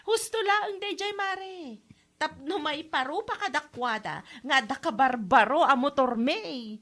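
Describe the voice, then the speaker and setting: A woman plays a smug, boastful Ilocano wife in a comedy drama. Her high, bright voice drawls along, cheeky and unapologetic. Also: animated, takes it slowly, full character voice.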